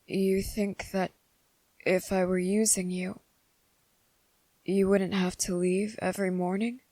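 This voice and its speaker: A woman voicing a possessive, lovesick male vampire in an intimate ASMR roleplay, speaking tenderly and haltingly, with coaxing deliberation. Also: medium pitch, flat delivery, mellow, no echo, natural voice. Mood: gentle, sorrowful. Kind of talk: ASMR character roleplay, intimate scripted acting.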